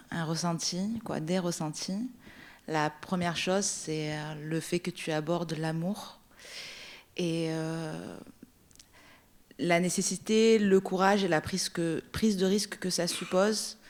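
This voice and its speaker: Woman, low-ish voice, earnest, searching for words, audience member. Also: fairly even intonation, slow, a little mellow. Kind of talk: spontaneous spoken comment. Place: library event room.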